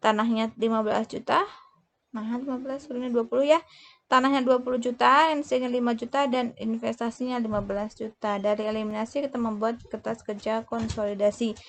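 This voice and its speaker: A woman speaks in a fairly high voice with noticeable creak. She teaches step by step through worked examples, haltingly, often stopping mid-word and correcting herself. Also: fairly even intonation. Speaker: accounting lecturer. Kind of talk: instructional explanation of worked examples.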